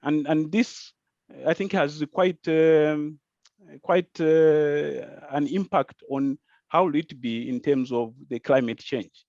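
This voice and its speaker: A man's voice: fairly high pitch, steady tone, takes it slowly, a little mellow, no room sound. Zambian guy explaining stuff on a panel, asks rhetorical questions, kinda lo-fi dry audio. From rural Zambia. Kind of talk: panel discussion answer.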